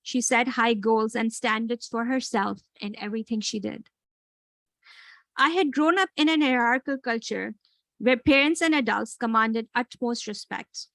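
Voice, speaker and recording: A woman in her forties with a background and a fairly high voice with a gravelly edge. She speaks with earnest reflection, pausing and restarting often. She moves between personal talk and readings from her own book in a dry, close-sounding space. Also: a bit bright, dry recording. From Karachi, Pakistan. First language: Urdu. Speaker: author and guest speaker.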